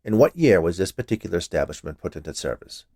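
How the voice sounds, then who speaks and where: A man's voice: sits low, sing-song, quick pace, a little mellow, no echo, studio quality, exaggerated voice. A man voicing a stern, fussy small health inspector with a curt, pedantic manner in a storybook tale. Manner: officious, pedantic, curt, defensive.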